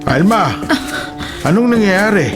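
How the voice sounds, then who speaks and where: Worried filipino man in radio drama, slightly high voice, urgent, bit of music behind. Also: animated, unhurried. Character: concerned adult man of the household.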